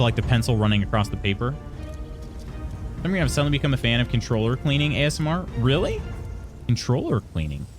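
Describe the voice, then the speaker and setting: A young man chats casually on a live stream, answering his viewers one message at a time. He often trips over his words and restarts his sentences. His pitch sits right in the ordinary middle for a man, and soft music plays faintly behind him. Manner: chatty, halting, many restarts. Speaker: streamer reading chat.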